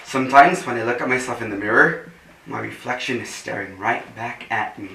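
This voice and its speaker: An actor in a staged reading playing an awkward, deadpan, quietly flirtatious newcomer, halting and dryly joking. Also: male voice, mid-range voice, vocal fry. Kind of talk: staged play reading, naturalistic dialogue. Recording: roomy, distant mic with faint noise.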